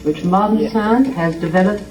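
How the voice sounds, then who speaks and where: A man's voice: high-pitched, normal speed, low-quality recording. A man announcing formally in 1930s network radio style.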